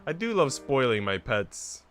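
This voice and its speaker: A man voicing a tender, teasing young lady in a visual novel, speaking softly, formally and coyly. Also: mid-range voice, sing-song, measured pace, soft background music. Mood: coy, affectionate. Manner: soft, formal, coy. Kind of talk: visual novel character reading.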